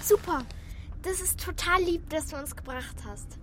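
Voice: extremely high pitch, moderate pace, a bit bright, a little hiss or hum. A performer playing an outspoken, compassionate and combative young girl in a children's radio play, blunt and direct. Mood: grateful.

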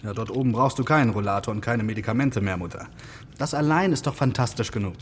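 A man's voice: mid-range voice, a little hiss or hum, close to an everyday voice. Pompous, progress-minded father played by a man. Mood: enthusiastic, pompous. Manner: brisk, pompous, enthusiastic, formal wording. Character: upbeat technocratic father, devoted to progress. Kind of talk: children's radio play acting.

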